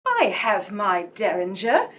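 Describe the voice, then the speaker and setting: A woman's voice: mid-range voice, huge pitch swings, slow, fairly bright tone, recorded from a distance, exaggerated voice. A woman playing a plucky, self-assured lady detective in a radio mystery play. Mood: alarmed.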